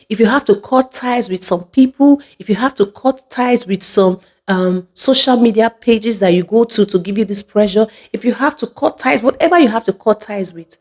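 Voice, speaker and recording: Female motivational host with a soft, mellow tone, addressing viewers directly and driving points home with emphatic repetition. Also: mid-range voice. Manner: emphatic, repeats phrases for effect. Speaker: video host.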